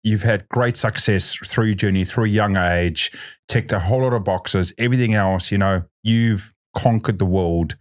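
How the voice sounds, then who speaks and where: A man's voice: on the low side, moderate pace. A man hosting a podcast interview, talking animatedly and acting out quotes. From South Africa. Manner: animated, restarts sentences, acts out quotes. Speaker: podcast host. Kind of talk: conversational interview.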